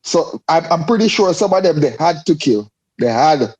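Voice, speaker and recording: A man's voice: fairly high pitch, animated, moderate pace, a little mellow, husky, poor audio. A man with a Caribbean accent talking casually and emphatically, often repeating his phrases as he explains. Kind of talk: casual discussion.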